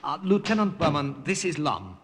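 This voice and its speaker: A noisy old radio recording carries a courteous underground major. His voice is fairly high and very animated in pitch, with polite, stiffly formal phrasing in the manner of 1950s radio acting. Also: moderate pace. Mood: polite, assured.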